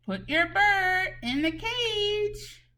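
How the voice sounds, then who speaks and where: A woman preschool teacher leading a children's craft lesson in an animated, sing-song way, asking questions and answering them herself. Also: very high voice, extremely slow.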